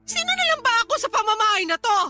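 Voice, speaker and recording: A hurt and fiery young Filipino girlfriend in a radio drama. Her voice is extremely high and sharp, shrill with accusation and emotion. Light music sits underneath. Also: very animated, racing, exaggerated voice. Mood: indignant, angry.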